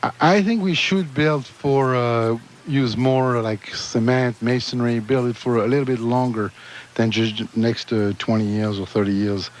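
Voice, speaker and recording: A man with a French accent speaks in a medium, ordinary pitch. He talks as a radio guest, often stopping and restarting his sentences as he explains. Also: fairly even intonation, measured pace, slight background noise. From France. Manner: hesitant, many restarts. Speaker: guest architect. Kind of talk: radio interview.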